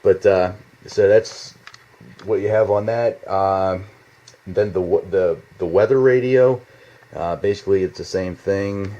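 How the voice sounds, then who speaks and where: A man giving a casual, unscripted product demonstration in a halting, rambling, informal way, recorded indoors in a home basement. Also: sits low, mellow. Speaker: product reviewer. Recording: slightly dull, lo-fi home video sound.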